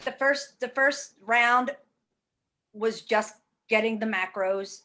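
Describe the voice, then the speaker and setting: A woman in her forties speaks slowly and with weight in a fairly high voice. She is blunt and emphatic and swears casually. She tells her own story in a candid, conversational interview. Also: sharp tone. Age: 40s. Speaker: fitness program client.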